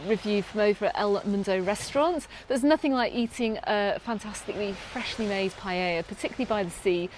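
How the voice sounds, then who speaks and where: British woman, travel vlog host, upbeat and chatty, ums and restarts, mid pitch, outdoors with faint ambience. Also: brisk, few pauses, a little hiss or hum, low-quality recording. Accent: British English. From Britain. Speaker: travel vlogger. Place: outdoors on a sunny beach, in a lifeguard tower.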